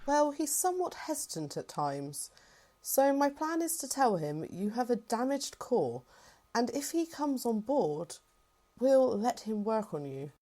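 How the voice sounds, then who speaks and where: A woman's voice: fairly high pitch, very animated, unhurried, dark tone. A woman playing a composed, reassuring and formal rebel general in a fan-made sci-fi roleplay.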